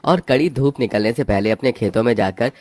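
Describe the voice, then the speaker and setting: A man's voice: mid-range voice, fairly quick, darkish tone, dry recording. A man reading scripted narration for a cartoon moral story. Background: background music.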